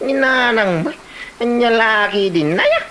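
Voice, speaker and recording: A man with an Ilocano accent acting a cheerful, boastful drinker in a comedy drama, with drawn-out exclamations. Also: very high-pitched, lively intonation, pushed and bright, a little hiss or hum, exaggerated voice. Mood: defensive.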